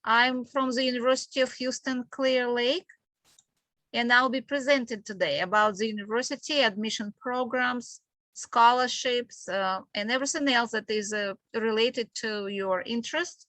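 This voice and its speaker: A woman from Ukraine with a Ukrainian accent, presenting a webinar in a halting, explanatory way as an admissions manager. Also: fairly high pitch, steady tone, fairly bright tone, no echo. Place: online video call with screen sharing.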